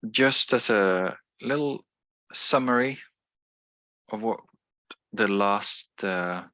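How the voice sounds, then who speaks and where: A man's voice: fairly low pitch, slow pace, pushed and bright, no echo. A man who is a native Swedish speaker, giving an explanatory lecture with frequent fillers and restarts. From Sweden. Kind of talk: online lecture.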